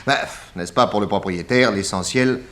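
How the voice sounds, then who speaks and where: An actor playing a genial, self-assured private detective of 1910 in a French radio drama, urbane and expansive. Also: male voice, on the low side, normal speed, fairly bright tone, natural voice. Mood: thoughtful, interested.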